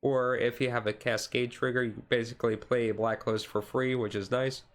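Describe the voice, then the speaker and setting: A man streaming casual, chill card game commentary in a halting, rambling way with frequent asides. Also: mid-range voice, level tone. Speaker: streamer host.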